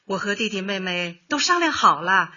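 Adult daughter, radio drama, slightly raspy, lively pitch, caring, coaxing, fussing. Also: female voice, normal speed, full character voice. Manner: expressive, coaxing. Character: grown daughter, caring, fussing, gently nagging. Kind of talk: Chinese radio drama acting. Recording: dull, lo-fi audio with faint music.

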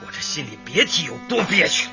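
A man playing a gruff, stubborn, hot-tempered husband in a Chinese radio drama, blunt and grumbling. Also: on the high side, very animated, a little fast, bright, forceful tone, full character voice. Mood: grumbling, frustrated. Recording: muffled radio drama with faint music.

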